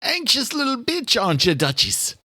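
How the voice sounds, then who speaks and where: A man's voice: very high-pitched, huge pitch swings, moderate pace, bright, forceful tone, no room sound, clean studio sound, pitched above the performer's own voice, full character voice. A man voicing a murderer who poses as a courteous chauffeur, sly and overly polite, in an audiobook thriller. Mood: gloating menace. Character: male killer posing as courteous chauffeur, sly and menacing.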